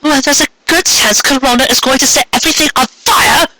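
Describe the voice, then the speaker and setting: A man voicing an excitable female pony who exclaims in alarm and disbelief in a story. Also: extremely high pitch, a little fast, dry recording, voice pushed up, heavily acted.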